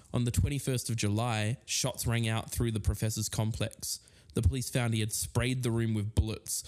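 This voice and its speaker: A man's voice: mid-range voice, a little mellow. A man co-hosting a podcast, narrating accounts and reading out quotes. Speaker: podcast co-host.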